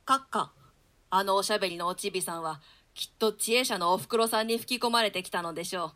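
A woman's voice: mid-range voice, quick pace, sharp tone, close to an everyday voice. A woman performing a scheming, commanding male nobleman in a theatrical Shakespeare reading. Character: scheming, commanding nobleman, grown man, conspirator. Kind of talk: theatrical Shakespeare reading in archaic Japanese translation.

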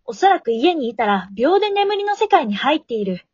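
A woman's voice: sits high, big pitch swings, fairly quick, a little mellow, dry recording. A woman playing a tired working woman who narrates her struggle to sleep in a radio drama monologue. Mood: weary, resolute. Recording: dull lo-fi remote home recording.

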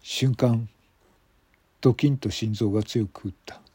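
男性がラジオドラマの台本を、間を取りながら語るナレーション。. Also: male voice, on the low side, steady tone, measured pace, warm tone, slightly rough, dry recording. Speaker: narrator. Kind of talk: scripted radio drama narration.

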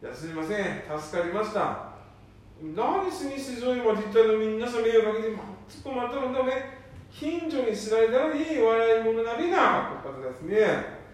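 Guy acting gruff son in a radio drama, nanbu dialect, high pitched, far from mic in echoey room. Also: expressive, normal speed. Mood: apologetic, scolding. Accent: Nanbu dialect, northeastern Japan. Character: middle-aged eldest son, gruff, embarrassed by his father. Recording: very echoey, distant, faint noise.

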